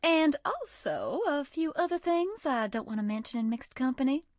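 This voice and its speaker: Flirty sweet southern belle for a dating game, higher voice, coy n folksy, very dry recording. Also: female voice, lively intonation, moderate pace, dry recording. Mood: affectionate, flirty. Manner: coy, folksy, old-fashioned endearments. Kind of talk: dating game character voice acting.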